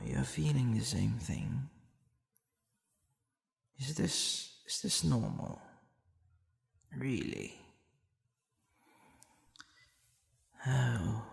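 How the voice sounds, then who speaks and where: Man playing a slow, gravelly, tender demon boyfriend. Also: mid-range voice, echoey room, full character voice. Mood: nervous wonder. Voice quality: breathy, often dropping to a whisper. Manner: slow, hesitant, soft chuckling. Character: tender, flustered male demon boyfriend falling in love. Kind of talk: intimate ASMR roleplay.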